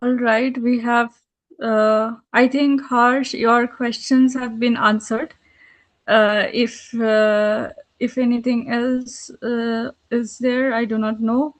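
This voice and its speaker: A woman moderating a webinar, relaying audience questions in a halting, polite way. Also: a little high, flat delivery.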